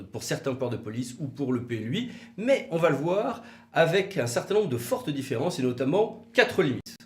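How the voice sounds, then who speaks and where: A man's voice: mid-range voice, a little mellow. A man giving a didactic legal explanation, posing rhetorical questions and stressing key words. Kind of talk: explanatory legal video talk, semi-scripted. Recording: roomy, echoey room sound.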